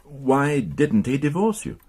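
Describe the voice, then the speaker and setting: An actor playing a weary, wry police inspector in a radio crime drama, measured and questioning. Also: male voice, medium pitch, sing-song, darkish tone, slightly rough, heavily acted. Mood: measured, probing. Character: weary, wry male police inspector, probing but considerate.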